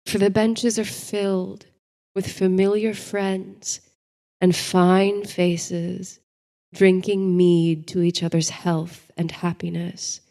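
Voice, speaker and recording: Slow, creaky-voiced woman telling bedtime stories. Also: on the low side. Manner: soothing, measured, dreamy delivery. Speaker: host and narrator of a sleep story series. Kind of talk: bedtime story narration, guided meditation. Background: soft music.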